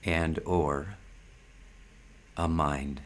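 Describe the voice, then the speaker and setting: A man with a deep, low voice teaches at a very slow pace. He delivers a meditative spiritual talk in short, deliberate phrases, leaving long pauses between them. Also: fairly even intonation. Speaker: spiritual teacher leading satsang.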